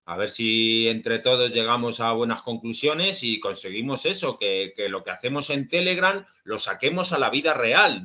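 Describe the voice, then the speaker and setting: A man's voice: mid-range voice, bright, forceful tone. A man talking as a podcast guest, hesitant and full of fillers as he explains his views. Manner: hesitant, many fillers and restarts. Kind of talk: casual podcast conversation.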